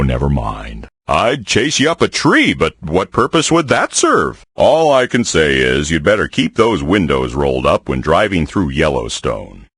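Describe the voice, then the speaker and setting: Deep husky grizzly bear for a board game, folksy and lazy, voice swoops all over the place, dry close sound. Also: male voice, fairly bright tone, no echo, exaggerated voice. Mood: folksy grumbling. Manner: folksy, drawling, jokey asides. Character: folksy good-natured grizzly bear, male, big and lazy. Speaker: game character voice. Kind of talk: game voice lines.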